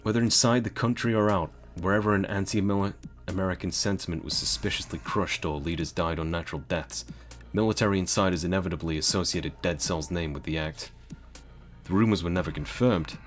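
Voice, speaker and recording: British guy reading aloud with chatty asides, very low voice, faint music. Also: fairly even intonation, fairly quick. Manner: chatty, swearing, laughs at own jokes. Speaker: streamer reading a game novel. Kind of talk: reading aloud with casual commentary.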